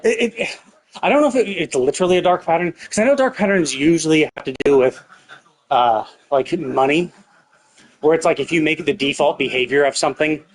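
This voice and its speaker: A man's voice: on the high side, expressive, fairly quick, rough recording. A man livestreaming a game with casual commentary, explaining his opinions in a rambling, repetitive, mildly exasperated way. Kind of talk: casual live stream commentary.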